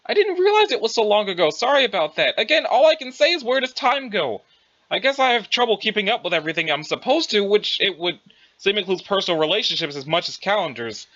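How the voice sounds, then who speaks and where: Bumbling, self-absorbed young male adventurer played by a man, wordy and folksy, bright animated delivery for audio drama. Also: sits high, highs cut off, full character voice. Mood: sheepish, rambling. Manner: wordy, old-fashioned folksy exclamations, bumbling. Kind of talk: dramatized dialogue reading.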